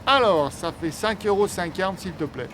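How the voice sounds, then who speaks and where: An actor playing an easygoing country bus driver in an audio drama, casual and familiar. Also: male voice, high-pitched, expressive, moderate pace, fairly bright tone. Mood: matter-of-fact. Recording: street and vehicle noise behind.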